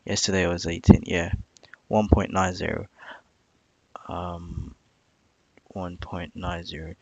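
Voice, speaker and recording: A man on an informal live coding stream, thinking aloud in a halting way, muttering to himself and repeating phrases. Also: low-pitched, flat delivery, no echo, highs cut off. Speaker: live streamer learning software.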